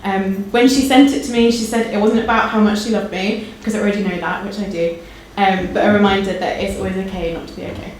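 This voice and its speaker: A woman's voice: mid-range voice, fairly even intonation, quick pace, few pauses. A woman speaking at a live event, giving a personal tribute and reading a poem to an audience. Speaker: guest poetry reader and friend. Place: indoor live launch event. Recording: very echoey hall, faint background noise.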